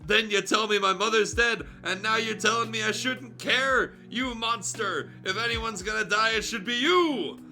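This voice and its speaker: A young girl dinosaur from a story, acted out by a man, outspoken and emotional. The voice is bright and intense, with music underneath. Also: sits high, moderate pace, few pauses, voice pushed up, full character voice. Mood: furious. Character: distraught, defiant young female dinosaur. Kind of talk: fan fiction read aloud. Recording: music behind the voice.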